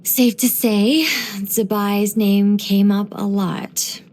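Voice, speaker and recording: A woman voicing a gentle, wise young angelic woman in game voice lines, soft and wryly musing. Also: medium pitch, fairly even intonation, slow pace, close to an everyday voice.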